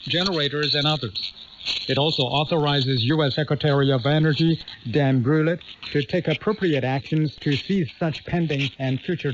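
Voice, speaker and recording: Man reading news through heavy shortwave static. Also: mid-range voice. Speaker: news reader. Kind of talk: scripted radio news bulletin. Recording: muffled shortwave radio with loud static noise.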